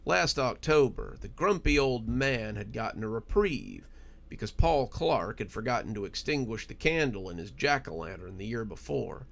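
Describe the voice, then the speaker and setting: A man narrating a horror story in a dramatic, expressive and deliberate storytelling style. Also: mid-range voice, vocal fry.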